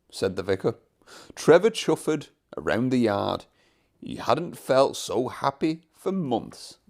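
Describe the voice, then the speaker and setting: A man narrating a children's storybook from a script in an expressive storytelling manner. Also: mid-range voice, gravelly.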